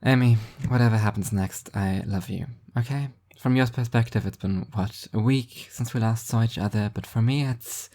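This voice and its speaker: Woman acting a weary devil, terse, halting, blunt, earnest, story reading. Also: a bass voice, warm tone.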